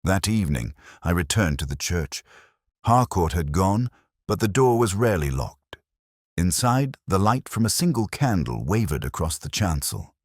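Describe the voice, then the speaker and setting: A man narrating a scripted first-person detective story in a measured, brooding, literary manner. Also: low-pitched, big pitch swings, no echo, studio quality.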